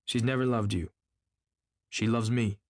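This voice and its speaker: Lovestruck gentleman, acted by a man for an audiobook: courteous, insistent, earnest, close dry sound. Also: on the low side, fairly even intonation, measured pace, no room sound, voice pushed up. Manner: earnest, emphatic, polite.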